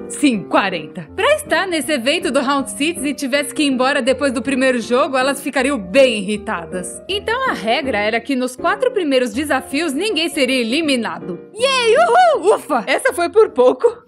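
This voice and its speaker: Female actor for a chatty Brazilian animated storyteller: high, very animated delivery, comic self-mocking asides, music underneath. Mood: excited. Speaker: narrator of an animated storytime video. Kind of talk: animated storytime narration, Brazilian Portuguese fan dub.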